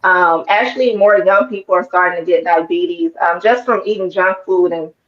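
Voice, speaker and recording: A woman with a lowish voice who talks in a relaxed, filler-heavy way during a panel conversation. Her call audio sounds dull and lo-fi. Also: normal speed. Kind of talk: casual panel chat. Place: remote video call.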